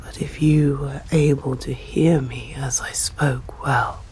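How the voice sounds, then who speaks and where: A woman's voice: rumbling low, steady tone, slightly rough, heavily acted, poor audio. Woman whispering as an ancient stern vampire. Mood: tender. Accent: English. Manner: slow hushed whispering, formal and commanding. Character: ancient stern female vampire, protective yet deadly. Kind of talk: ASMR voice roleplay.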